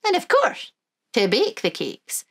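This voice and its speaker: A cheerful old granny from a children's storybook, voiced by a woman reading aloud. She speaks with a lilt, bright and high, and swoops up and down as she calls the children her darlings. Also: huge pitch swings, a little slow, creaky, heavily acted. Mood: cheery.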